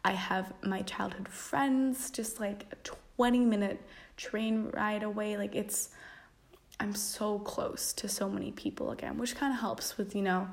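A young woman in her twenties from Denmark, talking casually to the camera. Her voice has a gravelly, fry-laced texture at a middle pitch, loose and chatty with plenty of fillers and small laughs. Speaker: vlogger. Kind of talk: casual reflective chat.

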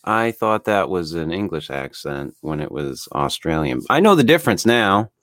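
A man's voice: low-pitched, sing-song, moderate pace, fairly bright tone, no echo, clean studio sound. A man co-hosting a podcast in loose, laid-back chat, swearing freely and filling gaps with "uh". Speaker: podcast co-host.

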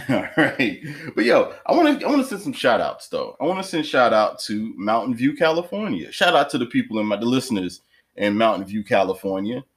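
A man's voice: medium pitch, sing-song, fairly quick. A man hosting a podcast, joking around and laughing often in loose, rambling chat. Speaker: podcast host.

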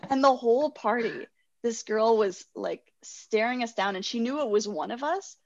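A woman in her twenties telling a personal story in casual chat, animated and self-deprecating, laughing often. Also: fairly high pitch, measured pace, long pauses, no room sound, dull audio. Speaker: guest sharing personal stories.

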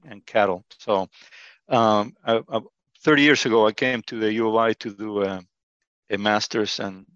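A man's voice: on the low side, a bit bright, no room sound, lo-fi sound. Hesitant man in his fifties. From Argentina. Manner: hesitant, many fillers and restarts. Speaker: guest, interim college dean. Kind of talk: interview answers.

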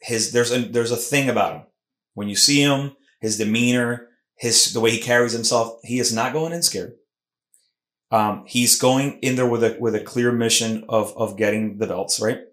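Male podcast host, emphatic and animated, middle pitch, roomy echo. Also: normal speed. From Puerto Rican heritage. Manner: animated, emphatic, lots of restarts. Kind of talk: casual combat sports podcast chat. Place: Philadelphia podcast studio room.

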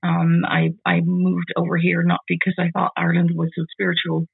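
A Dutch-accented woman in her forties with a gravelly, husky voice. She talks in a halting way as an interview guest, restarting and repeating words. The sound is dry and thin, like a phone line. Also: on the low side, completely flat delivery, dry recording. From Netherlands. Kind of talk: casual conversational interview. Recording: tinny phone-like call audio.

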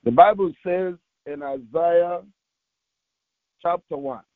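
A man preaching as a pastor, reading scripture aloud in a solemn, deliberate way with short halting phrases, over a narrow phone-like recording. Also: fairly high pitch, no echo. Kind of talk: devotional sermon with slow scripture reading aloud. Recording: tinny, phone-like narrowband audio.

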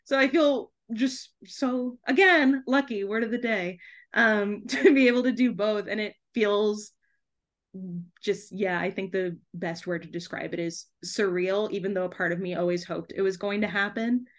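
Woman podcast guest, mid pitched voice, chatty and giggly, just talking casually. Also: moderate pace. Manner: giggly, laughs often while talking. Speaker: guest author and TV trailer writer.

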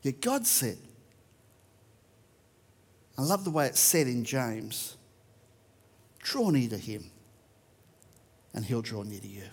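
A man's voice: medium pitch, big pitch swings, slow pace, pauses often, husky, in a large hall. An Australian man preaching a sermon, reading verses and talking conversationally to a church. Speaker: pastor.

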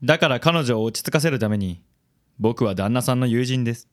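A man playing a vain, suave, self-styled handsome investigator in a radio drama, with smooth, theatrical self-assurance. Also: medium pitch, lively intonation, brisk, natural voice. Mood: earnest, reporting.

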